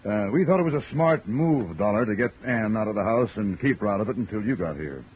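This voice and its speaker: Protective lawyer boyfriend, low and rapid, lively delivery, muffled vintage radio. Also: male voice, husky. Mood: placating. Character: smooth-talking male lawyer and protective escort. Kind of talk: 1940s radio drama acting. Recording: dull old radio recording.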